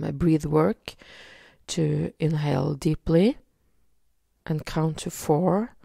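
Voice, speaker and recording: A woman from Norway with a Norwegian accent, leading a guided energy healing session in a slow, soothing way with many pauses and fillers. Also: deep voice, vocal fry, dark tone, dry recording, studio quality. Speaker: energy healer.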